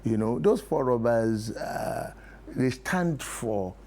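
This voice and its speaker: A Nigerian man speaking as an interview guest, explaining deliberately in short rhetorical phrases. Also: medium pitch, animated, dark tone. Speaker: interview guest, theatre producer.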